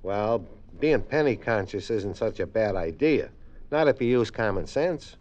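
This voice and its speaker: An actor playing an exasperated, earnest, thrifty husband in a comic 1950s radio advert. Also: male voice, lowish voice, animated, unhurried, darkish tone. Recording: dull 1950s radio recording.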